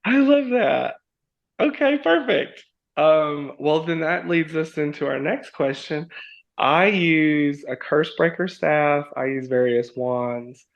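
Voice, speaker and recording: This is a man with a gravelly, raspy edge and a slightly high voice, talking as an excited podcast guest. He is playful and quick to laugh, and he keeps slipping into a theatrical, mock-formal wizard persona between casual filler-heavy chat. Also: highs cut off. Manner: upbeat and playful, many "like" fillers, laughs often.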